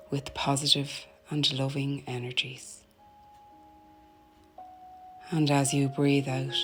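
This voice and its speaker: A woman narrating a guided meditation in a soothing, gentle, deliberate manner, letting her phrases end softly. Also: rumbling low. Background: meditation music.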